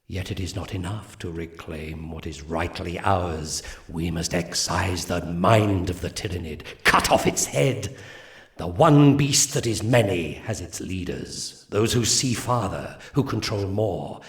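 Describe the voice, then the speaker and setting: Grave alien prophet commander from a strategy game, grand old-timey speechifying, echoey and a bit far off. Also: male voice, sits low, a little slow. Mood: solemn, proud. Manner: grandiose, archaic, solemn oratory. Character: solemn alien Eldar seer commanding warriors with prophetic gravity. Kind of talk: scripted game mission briefing. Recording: roomy echo, distant from mic.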